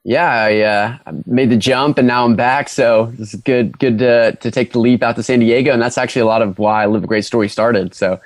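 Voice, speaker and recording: A man in his twenties, a guest entrepreneur chatting casually, relaxed and a bit hesitant. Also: medium pitch, a little fast, hardly any pauses, no echo. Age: 20s. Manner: relaxed, hesitant with fillers and restarts. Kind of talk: casual interview chat.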